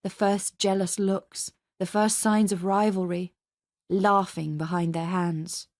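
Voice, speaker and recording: A woman's voice: mid-range voice, level tone, slow, no room sound, clean studio sound. A woman reading a dramatic scripted story as narrator. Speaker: narrator and reader.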